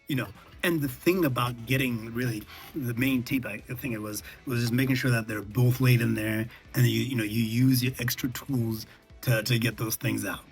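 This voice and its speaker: A man vlogging in a casual, conversational way, recounting lessons learned and often checking in with the listener, with a husky voice. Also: medium pitch. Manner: conversational, checks in with "okay" and "all right". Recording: music behind the voice.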